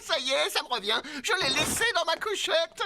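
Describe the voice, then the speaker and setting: An actor playing a fussy, nervous, bumbling pirate first mate in a cartoon, fretful and stammering. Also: male voice, very high voice, expressive, a little fast, background noise. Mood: flustered, fretful. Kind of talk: French-dubbed children's cartoon.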